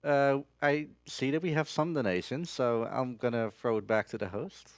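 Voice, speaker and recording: Medium-pitched man, casual gaming commentary. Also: moderate pace, dry recording. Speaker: speedrunner. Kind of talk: casual live game commentary.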